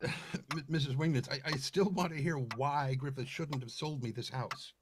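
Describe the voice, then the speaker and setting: A man's voice: on the high side, steady tone, normal speed, close to an everyday voice. A man playing a confident but easily flustered, skeptical homebuyer in a sputtering 1940s radio drama manner. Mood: flustered, curious.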